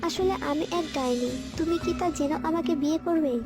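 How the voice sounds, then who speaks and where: A sweet young witch bride from a cartoon, acted by a woman, speaks in a very high-pitched voice. She is grateful and protective of her family. Loud music plays behind her. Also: a little fast, close to an everyday voice. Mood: tender, earnest. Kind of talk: scripted cartoon dialogue.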